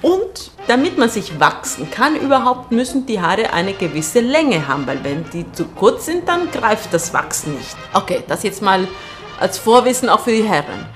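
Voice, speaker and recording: A woman's voice: medium pitch, very animated, moderate pace, fairly bright tone. A woman from Argentina, a native Spanish speaker, chats candidly and with a giggly manner as a guest telling personal stories on the radio. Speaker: singer and actress, radio guest. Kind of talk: casual personal storytelling in a radio interview. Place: radio broadcast. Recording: music behind the voice. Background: music.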